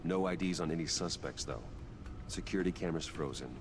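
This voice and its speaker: An actor playing a grim, determined vigilante detective in a video game, speaking in a terse, stoic and measured way. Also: male voice, deep voice, background noise. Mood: somber, determined. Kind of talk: cinematic game voice acting. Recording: music bed under dialogue.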